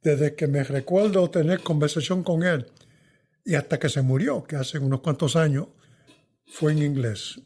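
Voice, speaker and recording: Older man, husky voice, musing. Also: on the high side, normal speed. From New York. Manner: thoughtful, hesitant, trails off softly. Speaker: veteran journalist guest. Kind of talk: reflective interview answers.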